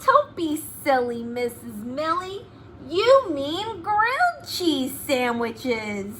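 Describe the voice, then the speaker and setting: A woman voicing young storybook pupils who playfully correct their teacher, exclaiming and stressing words slowly. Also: sits high, big pitch swings, a bit bright, a little hiss or hum, exaggerated voice.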